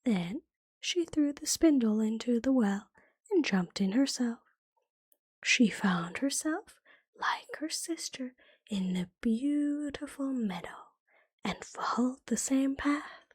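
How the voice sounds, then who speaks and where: A woman reading a fairytale as ASMR in a soft, breathy whisper, gently and carefully, like a bedtime storybook. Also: sits high, lively intonation, takes it slowly, no echo.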